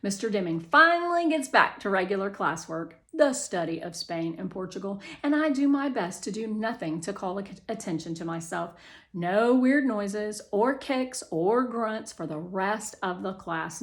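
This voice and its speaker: A woman narrating a children's novel aloud in the first person, with expressive storytelling delivery. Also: mid-range voice. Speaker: children's book read-aloud reader.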